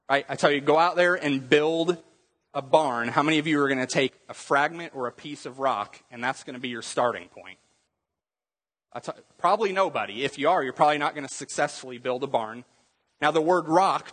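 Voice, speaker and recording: A man's voice: fairly high pitch, fairly bright tone, big-room sound. A man preaching a sermon in a church in a conversational teaching manner, quizzing the congregation with questions. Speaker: pastor.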